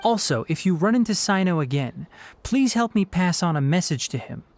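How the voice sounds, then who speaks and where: A man's voice: high voice, lively intonation, moderate pace, no echo. Earnest young man, a forest ranger. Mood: earnest, concerned. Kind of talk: scripted video game voice acting. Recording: music behind the voice. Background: faint music.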